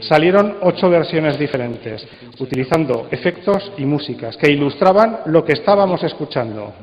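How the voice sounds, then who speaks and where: A man with a Spanish accent presents informally at a conference in a fairly high voice. He is conversational, often checking with listeners through questions. The sound is slightly dull, with long hall reverberation. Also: expressive, normal speed. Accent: Spain. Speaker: conference speaker on radio drama. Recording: muffled, echoey hall sound.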